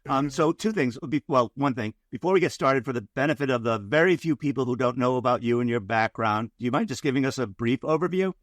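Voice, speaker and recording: A man's voice: mid-range voice, animated, fast talker, few pauses, no room sound. Friendly man hosting a podcast, dry sound. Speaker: podcast host. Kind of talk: podcast interview.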